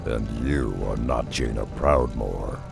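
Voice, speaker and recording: A rumbling, gravelly brute of a warrior. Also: male voice, slow pace, over music, heavily acted. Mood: gruff. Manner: blunt, terse and gruff. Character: rugged male half-ogre beast hunter, blunt and loyal. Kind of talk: video game cutscene acting.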